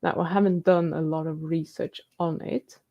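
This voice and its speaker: A woman's voice: sits low, fairly even intonation, normal speed, creaky, lo-fi sound. A woman hosting a live stream, reading out viewer questions and comments and answering them in a chatty, casual way. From New Zealand.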